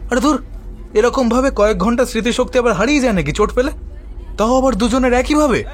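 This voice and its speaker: Scoffing young neighbourhood ringleader, high-pitched, colloquial and questioning; horror audio drama with faint music underneath. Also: male voice, fast talker. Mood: pained, dismissive. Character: young local man, scheming ringleader, puzzled and sarcastic. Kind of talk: audio horror story acting.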